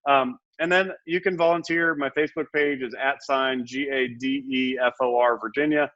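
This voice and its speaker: A man's voice: mid-range voice, fairly even intonation, normal speed, fairly bright tone, dry recording. A middle-aged man, a Senate candidate, speaking earnestly and with passion as a guest, often restarting his words. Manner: impassioned, repeats and restarts words. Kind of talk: earnest interview talk. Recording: muffled dry audio.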